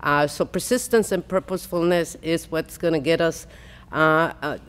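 A woman, a county health official, giving press conference remarks in a deliberate way with many pauses and fillers, at a podium mic in a large hall. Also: low voice, steady tone, clean tone.

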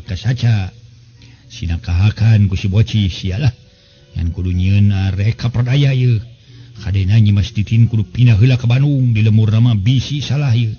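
A man's voice: lowish voice, dark tone, dull audio, close to an everyday voice. A man voicing a scheming male villain in an audio story, muttering and grumbling to himself in colloquial speech. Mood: gloating. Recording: music bed behind the voice.

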